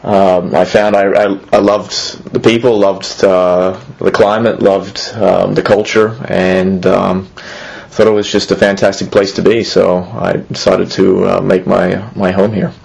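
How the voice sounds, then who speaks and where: A man's voice: deep voice, level tone, unhurried, creaky, darkish tone. A man in his thirties, originally from Australia, answering interview questions hesitantly with many fillers and restarts. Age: 30s. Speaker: museum executive, interviewee.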